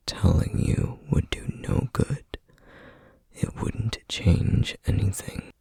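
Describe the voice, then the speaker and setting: A man playing a stern, duty-bound flame demon in an ASMR roleplay, speaking in a breathy, husky half-whisper with grave, measured formality. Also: lowish voice, dark tone, dry recording, exaggerated voice. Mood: guarded, firm. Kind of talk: ASMR roleplay, scripted acting.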